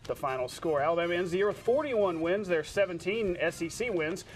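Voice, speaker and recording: A man anchoring a sportscast, reading scripted highlights and trading short banter with a co-anchor. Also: expressive, brisk, soft background music.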